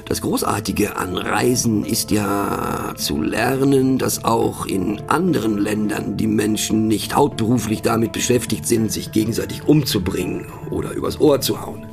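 A man's voice: lowish voice, lively intonation, measured pace, vocal fry, music in the background. A man playing a pensive, mocking narrator in a satirical radio play monologue, speaking ironically with conversational, questioning asides. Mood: musing, wry. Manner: ironic, rhetorical, punctuated with little questioning tags. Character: wry, pensive, mocking male narrator musing on words.

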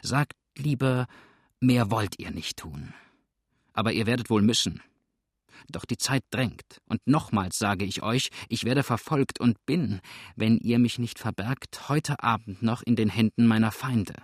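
A man's voice: on the low side, unhurried, no room sound, clean studio sound. A man voicing a hunted, ruthless outlaw in an audiobook, grim and threatening, with a sneering edge. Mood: sneering threat. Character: hunted, ruthless male fugitive outlaw, exhausted and menacing. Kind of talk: audiobook character acting.

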